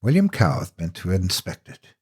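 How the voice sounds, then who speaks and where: A man narrating a scripted horror story in a theatrical, deliberate and ominous manner. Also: deep voice, huge pitch swings, husky.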